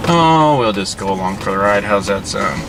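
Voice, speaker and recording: A man with a fairly low voice rambles casually, like someone thinking aloud behind the wheel. His delivery is folksy, halting and unhurried. The mic sits some way from him, and loud vehicle rumble runs underneath everything. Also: animated. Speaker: hobbyist video host. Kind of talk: casual rambling vlog chat while driving. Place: inside a moving car. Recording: distant mic, loud car and road noise.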